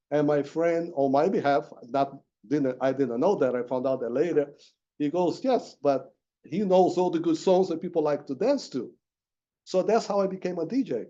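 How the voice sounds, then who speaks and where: Male panelist in his 60s, very dark mellow tone, muffled lo-fi audio. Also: on the high side. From Brazil. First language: Portuguese. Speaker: panelist, veteran DJ. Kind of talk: storytelling at a panel.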